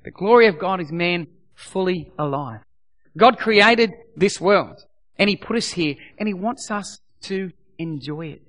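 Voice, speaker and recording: Croaky aussie pastor guy, middle-aged, high voice, preaching hard and repeating himself. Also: animated, measured pace. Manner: emphatic, swings from loud repeated exhortations to soft prayer. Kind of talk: sermon.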